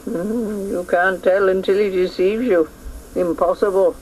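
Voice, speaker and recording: An actor playing a wise, cryptic old woman in a radio drama, musing and measured. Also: medium pitch, steady tone, a little mellow, a little hiss or hum, lightly acted, poor audio. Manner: measured, aphoristic, musing hums.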